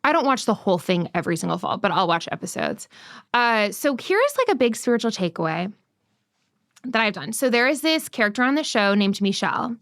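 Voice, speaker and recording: A young woman talks easily on a podcast, her voice smooth and clear and her pitch sitting in the middle. She fills her sentences with casual "like"s as she leads the talk. The sound is close and dry, with no room behind her. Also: very animated, fairly quick, fairly bright tone, no room sound. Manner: chatty, frequent "like" fillers, leads the questions. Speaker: podcast co-host. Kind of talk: conversational podcast chat.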